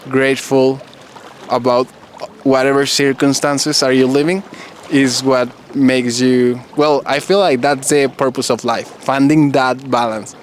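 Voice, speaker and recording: A man whose first language is Spanish, hosting a relaxed conversation and speaking thoughtfully in English while searching for words. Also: mid-range voice, measured pace, a little hiss or hum. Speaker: podcast host. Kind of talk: casual conversation.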